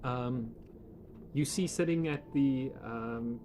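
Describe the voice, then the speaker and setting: Male game master, casual, improvised, halting narration. Clear background noise. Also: mid-range voice, darkish tone. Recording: noisy room with background ambience.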